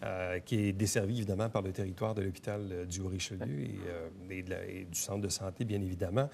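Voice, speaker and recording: A man with a Quebec French accent hosting a local interview show, who asks brisk, teasing questions. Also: deep voice, fairly even intonation, vocal fry, a little mellow, slight background noise. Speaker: host.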